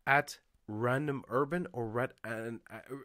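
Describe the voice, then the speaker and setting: A man in his thirties hosting a casual podcast from a small home studio on a boom microphone, swearing freely and laughing often. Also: measured pace, no room sound. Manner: swears often, laughs a lot, animated hand gestures. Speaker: podcast host. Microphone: headphones and studio mic on boom arm.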